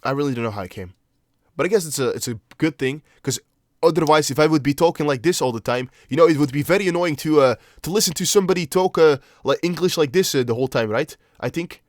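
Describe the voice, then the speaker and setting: A young man whose first language is Dutch, speaking English with a Dutch accent. He hosts a casual crypto livestream, chatting loosely, reading chat aloud and thinking out loud with lots of fillers. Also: fairly high pitch, fast talker, dry recording, studio quality. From Netherlands. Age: young adult. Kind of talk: casual livestream chart analysis.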